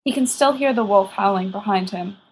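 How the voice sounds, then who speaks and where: A woman's voice: mid-range voice, fairly quick, a little hiss or hum, rough recording. A woman reading a story aloud as scripted audiobook narration. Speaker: audiobook reader.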